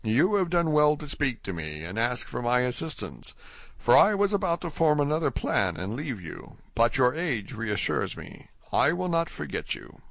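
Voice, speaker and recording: A guarded older prisoner speaks low and deliberately, weighing every word, as a man puts him on. The sound is dry and close to the mic. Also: sing-song, vocal fry, dry recording. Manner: hushed, deliberate. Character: older male prisoner, cautious and measured. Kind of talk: audiobook reading.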